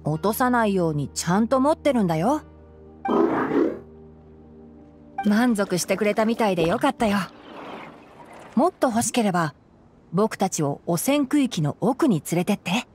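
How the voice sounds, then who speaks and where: A woman voicing a gentle young male forest ranger and scholar in a Japanese anime game, measured and explanatory. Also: mid-range voice, animated, soft background music, close to an everyday voice. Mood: calm, kindly. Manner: measured, explanatory, soft-spoken.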